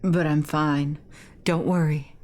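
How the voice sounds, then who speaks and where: A blunt high school boy from a horror game, voiced by a woman. The voice is pushed low and stays casual and matter-of-fact, like a tough kid shrugging things off. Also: steady tone, slow pace, darkish tone. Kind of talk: dramatic read-aloud of game dialogue.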